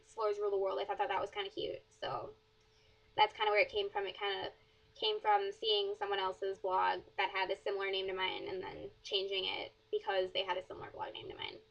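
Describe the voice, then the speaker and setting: Rambling teen girl with flat delivery. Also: mid-range voice. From southern Wisconsin, USA. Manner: rambling, many fillers and "like". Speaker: blogger answering questions. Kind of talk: casual Q&A vlog. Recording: dull lo-fi webcam audio.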